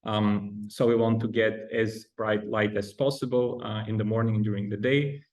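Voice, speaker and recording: A man with a Bulgarian accent talks as a podcast guest in a fairly low voice. He explains things carefully, pausing on ums and restarting his sentences. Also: little pitch movement, darkish tone. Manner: hesitant, many ums and restarts. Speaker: guest researcher. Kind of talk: podcast interview.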